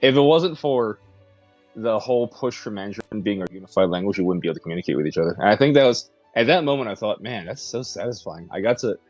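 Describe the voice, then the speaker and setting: Young American guy, casual interviewee, quick and loose, lowish voice, muffled lo-fi sound. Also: animated, a little mellow, dry recording, faint music behind. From United States. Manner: laid-back, chuckles often, hedges with "like". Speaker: language program student.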